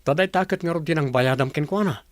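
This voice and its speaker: A man's voice: on the high side, fast, no room sound, natural voice. A man with a Filipino accent acting a frank young confidant in a comedy radio drama, incredulous and chiding.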